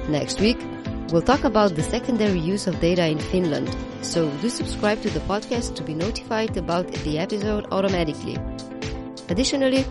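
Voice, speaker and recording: Low-voiced woman podcast host. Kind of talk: scripted podcast intro, then interviewing.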